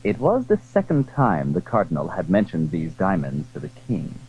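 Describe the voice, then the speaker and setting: A man's voice: low voice, wildly swooping pitch, moderate pace, slightly rough. A man narrating a literary audio drama in a formal, dramatic storytelling style. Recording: muffled, narrow-band 1980s audio drama recording.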